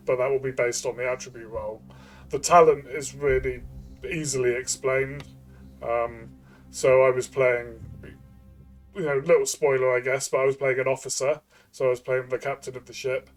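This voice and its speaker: A man's voice: mid-range voice, fairly even intonation, normal speed, soft background music. Male co-host for a review discussion. Middle-register voice, conversational, slightly hesitant, works out his points aloud. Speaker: podcast co-host and game reviewer.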